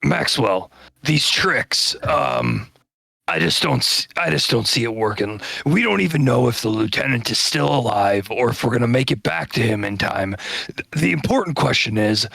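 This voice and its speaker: A man's voice: mid-range voice, lively intonation, moderate pace, gravelly, a bit bright, slightly rough, no room sound, rough recording. A man playing a tabletop game, grumbling and defensive with his party. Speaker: player. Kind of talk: casual tabletop play.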